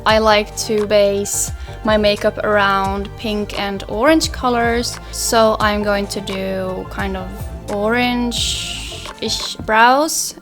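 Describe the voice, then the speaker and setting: A young woman from Finland whose first language is Swedish chats easily through her makeup routine. She speaks at a mid pitch, soft and relaxed while she works, recorded close up in a small bedroom with faint music underneath. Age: young adult. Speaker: beauty vlogger. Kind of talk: makeup tutorial with Q&A. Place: small pastel bedroom, indoors.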